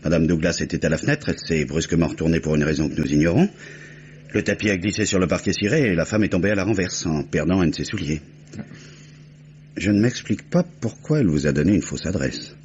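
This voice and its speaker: Matter-of-fact detective inspector, played by a man, deep, measured, deductive, vintage radio drama with slight background hiss. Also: a little mellow, lightly acted. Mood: sober, deductive. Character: matter-of-fact, methodical male police inspector. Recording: dull, noisy old radio recording.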